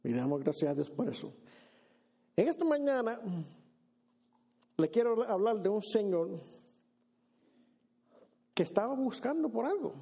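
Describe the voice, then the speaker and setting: A man with a high, gravelly voice delivers a sermon in a church, talking loosely and restarting his phrases often. His tone is dark and mellow with a husky edge. He slips into English now and then. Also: very animated, unhurried, long pauses. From Brooklyn, New York. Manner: conversational, many restarts and repeated words, mixes in English phrases. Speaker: pastor. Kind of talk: sermon with Bible reading. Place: church sanctuary.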